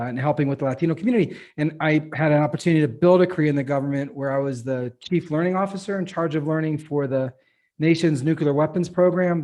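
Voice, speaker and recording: A man's voice: a little high, a little mellow. A middle-aged man earnestly telling his career story on a video panel, with frequent uhs. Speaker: panelist, nonprofit CEO. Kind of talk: panel self-introduction.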